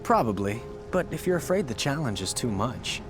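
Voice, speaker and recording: A man's voice: medium pitch, very animated, normal speed, lightly acted. An actor playing a cool, wry male hero in a fantasy video game, composed in his delivery. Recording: clear music underneath.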